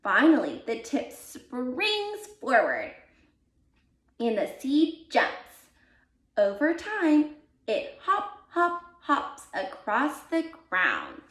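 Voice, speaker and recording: A woman reading a children's picture book aloud as a volunteer, with deliberate, expressive emphasis for young listeners. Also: a little high. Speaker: volunteer read-aloud reader. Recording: roomy, echoey sound.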